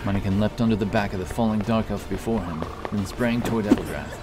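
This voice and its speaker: A man narrating a fantasy audiobook in a steady, dramatic storytelling manner. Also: on the low side, a little fast. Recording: with loud music behind.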